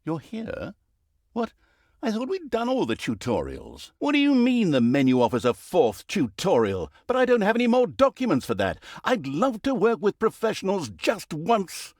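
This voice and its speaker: An actor playing a pompous, droll, easily exasperated storybook narrator in a video game, with theatrical delivery. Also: male voice, high-pitched, huge pitch swings, normal speed, darkish tone, dry recording, clean studio sound, full character voice. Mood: flustered, exasperated.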